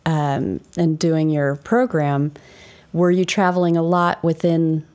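A woman's voice: deep voice, slow pace, a little mellow. A woman podcast co-host asking encouraging, curious questions about her guest's background. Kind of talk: interviewing in a podcast chat.

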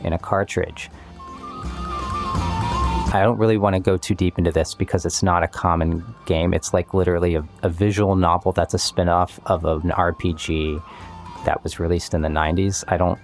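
Male composer, interview style. Deep voice, thoughtful and explanatory, clear musical bed underneath. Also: flat delivery, normal speed, soft background music. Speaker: composer and musician interviewed about his work. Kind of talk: reflective interview talk.

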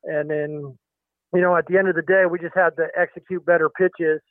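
Middle-aged man, pitching coach, phone-line sound, higher voice, halting, lots of fillers. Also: level tone, no echo. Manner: halting, many fillers and restarts. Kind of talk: phone interview.

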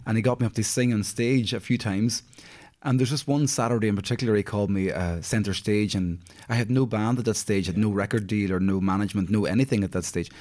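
Chatty Northern Irish man, lowish voice. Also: fairly even intonation, fast talker, a little mellow. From County Derry, Northern Ireland. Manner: chatty, friendly, frequent you-knows. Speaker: interview guest, singer. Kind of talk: casual reminiscing interview chat.

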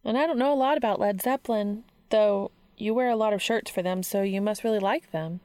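A woman's voice: medium pitch, brisk, a little mellow, no room sound, natural voice. A woman voicing a kind, bookish teenage girl in an audiobook, chatty and upbeat, often questioning. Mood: bright, eager. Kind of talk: audiobook character dialogue.

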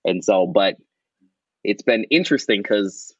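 A man's voice: on the low side, lively intonation, a little slow, a bit bright, breathy edge, dry recording. A man in his thirties from Wisconsin with a noticeable Wisconsin accent, chatting casually as a podcast guest over a call, rambling with lots of fillers. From Wisconsin, USA. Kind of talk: casual chat. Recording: dull, lo-fi audio.

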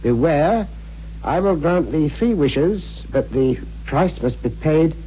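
Musing donnish scholar guy, bit high and mellow, old radio play, dull humming recording. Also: animated, slow pace, a little hiss or hum. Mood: solemn reading. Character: genial, hesitant male amateur archaeologist, puzzled scholar. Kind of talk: 1960s radio drama acting.

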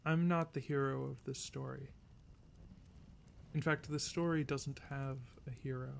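A man playing a weary, resolute, lovelorn wanderer in an audio drama, narrating their journey with earnest, deliberate phrasing. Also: mid-range voice, fairly even intonation, gravelly, slight background noise. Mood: solemn, resolute. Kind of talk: audio drama monologue and folk singing. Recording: guitar music behind. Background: acoustic guitar music.

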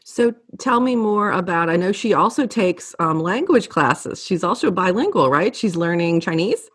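A woman's voice: fairly low pitch, sing-song, normal speed, a bit bright, breathy edge, no room sound, clean studio sound. A woman hosting a podcast, chatty and enthusiastic as she asks questions. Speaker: podcast host. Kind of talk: casual interview.

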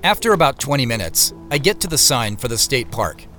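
A man's voice: medium pitch, very animated, brisk, pushed and bright, soft background music. An actor playing a wry, beleaguered office man on the run, narrating his own audio drama in the first person with understated, muttered asides. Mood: wry, weary narration. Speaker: actor narrating as main character.

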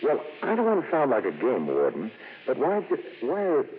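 An actor playing an affable, casual songwriter, courteous and a little hesitant, in a 1950s radio drama. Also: male voice, mid-range voice, huge pitch swings, normal speed. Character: affable, casual male popular songwriter and country neighbour. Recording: tinny, slightly noisy 1950s radio broadcast.

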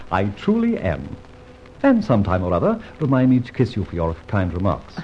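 An Australian actor playing a suave, playful gentleman thief in a 1950s radio drama, brisk and theatrical. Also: lowish voice, huge pitch swings. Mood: scheming, playful. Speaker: lead character. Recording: dull old radio recording, faint hiss.

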